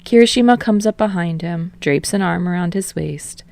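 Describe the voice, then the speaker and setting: Low, creaky woman narrating a story. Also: normal speed, a little mellow, no echo. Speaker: audiobook narrator of fan fiction.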